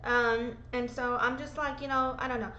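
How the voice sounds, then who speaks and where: A woman's voice: a little high, little pitch movement, normal speed, pushed and bright, dull audio, slight background noise. Sick woman rambling in an echoey room. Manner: emotional, profane, rambling. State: sick, sore throat, voice lower than usual. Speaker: vlogger. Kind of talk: casual rambling vlog. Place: home room being packed up.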